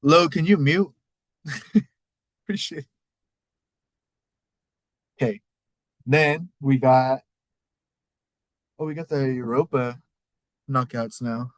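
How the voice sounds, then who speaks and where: Man, Mexican accent, solo podcast host, loose rambling delivery, mid pitch, close dry mic. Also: takes it slowly, pauses often, no room sound. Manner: rambling, loose, cusses casually. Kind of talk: casual solo recap talk.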